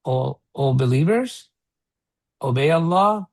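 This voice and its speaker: A man's voice: fairly high pitch, animated, slow, creaky, darkish tone, no echo. A man teaching a one-on-one religious read-along lesson, repeating and rephrasing points and checking with short questions.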